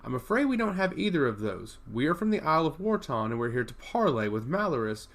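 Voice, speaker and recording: A man's voice: fairly high pitch, big pitch swings, brisk, fairly bright tone. A man voicing a confident, frank warrior prince in formal, assured storybook dialogue. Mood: diplomatic, assured.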